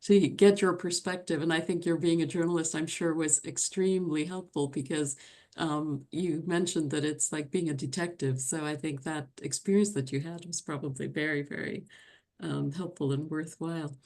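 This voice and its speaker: A woman's voice: low-pitched, fairly even intonation, normal speed, a little mellow. A woman interviewing a guest for a book club, asking polite, hesitant questions.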